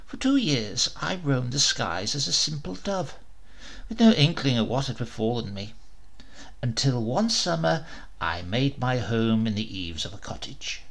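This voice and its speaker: Gravelly, animated male storyteller. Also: medium pitch, a little slow. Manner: expressive first-person storytelling with wry humor. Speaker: narrator. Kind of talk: storybook reading.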